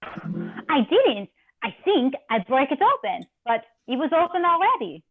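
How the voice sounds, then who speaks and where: A woman's voice: high-pitched, huge pitch swings, measured pace, full character voice. A woman playing a flustered young maid protesting her innocence in a comedy mystery table read, on dull lo-fi audio. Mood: defensive.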